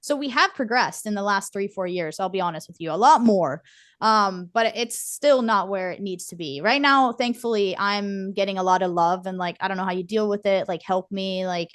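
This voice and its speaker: A woman's voice: medium pitch, no room sound, clean studio sound. A woman talking passionately and animatedly in a podcast interview, acting out what others say. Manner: passionate, animated, quotes people in voices. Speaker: non-profit board member and content creator.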